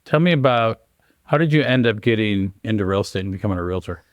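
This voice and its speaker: A man's voice: fairly low pitch, lively intonation, fast talker, dry recording. An American-accented man hosting a casual interview, conversational and affirming as he asks questions. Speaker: podcast host, interviewer.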